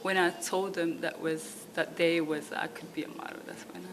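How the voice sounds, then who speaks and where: Low, flat-voiced young woman, hesitant. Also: creaky, noisy background. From Ethiopia, raised in the Netherlands. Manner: halting, lots of fillers and "you know what I mean". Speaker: model, guest. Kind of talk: casual interview. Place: apartment at home.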